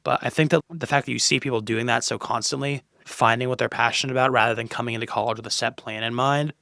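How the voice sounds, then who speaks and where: A man's voice: mid-range voice, steady tone, quick pace, vocal fry, bright, forceful tone, no echo, studio quality. A young man hosting a casual interview podcast, asking long, loose, rambling questions. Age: young adult. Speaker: podcast host.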